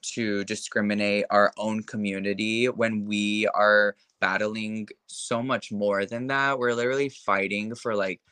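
Young man, interview guest, impassioned, lots of filler words, bright tone, dry close sound. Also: on the low side, little pitch movement, unhurried, few pauses, clear, resonant tone, no echo. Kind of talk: casual conversation.

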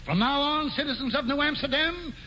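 An actor playing a pompous, scheming colonial governor, grandiose and sly in 1940s radio acting, on a muffled old broadcast. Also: male voice, extremely high pitch, fairly quick, pushed and bright, a little hiss or hum. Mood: oratorical. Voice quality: grandiose, mock-genial, sly. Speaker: governor Stuyvesant.

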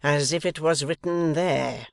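A man's voice: a little high, a little slow, vocal fry, no room sound. A man voicing a tense schoolmaster in a novel, intense and impatient, with rage held barely in check. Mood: intense, urgent. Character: tense, haggard schoolmaster seething with repressed rage. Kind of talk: dramatised novel reading.

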